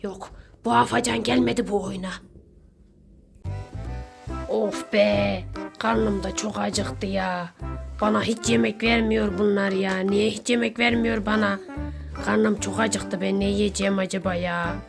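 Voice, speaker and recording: Over music, a woman puts on a greedy, pot-bellied neighbour from a kids' skit. The voice is creaky and whining, always pleading for food or muttering sneaky plans to itself. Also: mid-range voice, fairly even intonation, measured pace, pauses often. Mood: scheming. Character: whiny, greedy, ever-hungry pot-bellied neighbour intruder scheming for snacks. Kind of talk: children's pretend-play skit.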